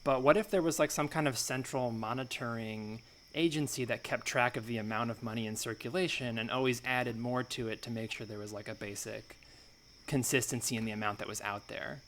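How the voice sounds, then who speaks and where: A man improvising a naive, falsely modest amateur thinker in a comedy podcast, musing in a halting, hedging way. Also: medium pitch, steady tone, fairly bright tone, a little hiss or hum, close to an everyday voice. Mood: tentative, musing.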